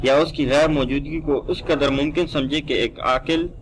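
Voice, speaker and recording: A man with a Pakistani accent teaching a law lesson, reading text aloud and explaining it in a deliberate, didactic way. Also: a little high, fairly even intonation, a little hiss or hum.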